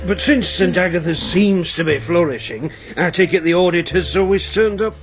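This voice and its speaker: An actor playing a polite, inquisitive lord in a radio drama, courteous and slightly hesitant. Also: male voice, sits high, normal speed, faint music behind. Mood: curious. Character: polite, inquisitive titled male guest at college. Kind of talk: British radio drama acting.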